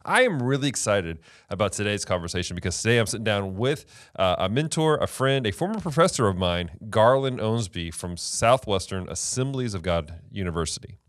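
A man in his thirties, a pastor hosting a casual chat show, upbeat and quick to laugh, close to a studio mic in a small wood-paneled room. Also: fairly low pitch, expressive. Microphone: studio mic on boom arm, close.

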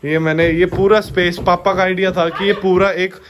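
A man's voice: high-pitched, little pitch movement, normal speed, slight background noise. A young man giving a casual, chatty house tour vlog, walking from room to room and talking to his viewers like friends. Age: young adult. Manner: chatty, addresses viewers as "bhai". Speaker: vlogger. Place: indoors in a family home, walking room to room. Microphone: handheld action camera.

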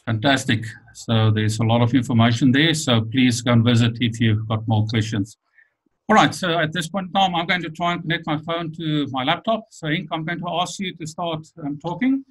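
A man's voice: fairly high pitch, normal speed, poor audio. Man hosting an online webinar. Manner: friendly, thankful, with fillers. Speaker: webinar host. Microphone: online call.

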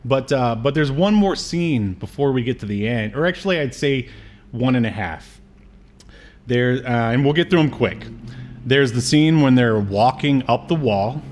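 A man's voice: medium pitch, expressive, moderate pace, a little mellow. A man, hosting a casual film discussion and recapping scenes from home. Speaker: podcast host. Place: small room at home.

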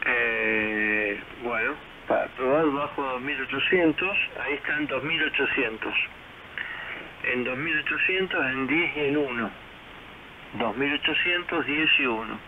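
Guy on ham radio, hesitant, thinking out loud, tinny shortwave sound w a bit of hiss. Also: mid-range voice, measured pace. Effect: shortwave radio transmission. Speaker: amateur radio operator. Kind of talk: casual radio chat while testing audio settings.

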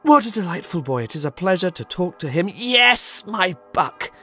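An elderly reformed miser in a theatrical audio drama. His high voice swoops widely as he exclaims and stammers with generous excitement, over music. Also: moderate pace, breathy edge, heavily acted. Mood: giddy joy. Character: reformed old miser, giddy, jubilant, generous elderly gentleman.

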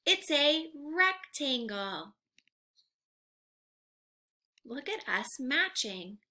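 A young woman reading aloud to small children like a teacher, asking simple questions in an animated, carefully enunciated way. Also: sits high, slow. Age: young adult. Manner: animated, exaggerated, enunciated for little learners. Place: living room at home, indoors.

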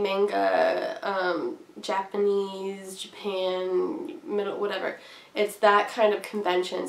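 Hoarse woman chatting from across a room. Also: medium pitch. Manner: rambling and chatty, many ums. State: hoarse from a cold, coughing. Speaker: craft vlogger. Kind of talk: casual chat to camera. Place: small room indoors at home. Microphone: camera at a distance. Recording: roomy, from a distance, faint hiss.

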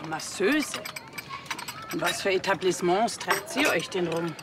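A woman's voice: fairly low pitch, expressive, measured pace, heavy background noise. An actress playing a blunt, nosy, easily flustered small-town woman with a Bavarian accent. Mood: teasing, awkward.

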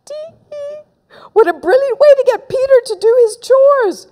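A woman voicing a mischievous, scheming young boy in a children's audiobook, loud, taunting and exclamatory. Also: fairly high pitch, sing-song, unhurried, voice pushed up. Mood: gleeful.

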